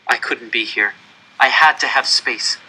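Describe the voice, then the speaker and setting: A man reading a first-person novel aloud as a dramatic, deliberate and expressive narrator. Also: mid-range voice, slightly rough, poor audio. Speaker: audiobook-style reader.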